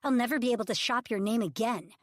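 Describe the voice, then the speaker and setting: A woman voicing a high-strung female Hollywood agent whose voice is high-pitched, strained, loud and scolding. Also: animated, fairly quick, dry recording, studio quality. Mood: angry scolding.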